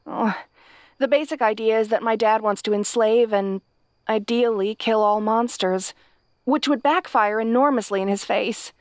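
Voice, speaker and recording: A woman's voice: medium pitch, no echo, lightly acted. A woman playing a kind, naive young princess in a fantasy audio roleplay, speaking politely and hesitantly to the listener. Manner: hesitant, polite, rambling earnest explanations.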